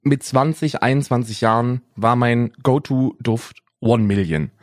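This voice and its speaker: A man's voice: mid-range voice, unhurried, no echo, studio quality. A young adult man co-hosting a podcast in casual chat, rambling on and tagging his lines with "ne?" and "Bruder". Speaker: podcast co-host.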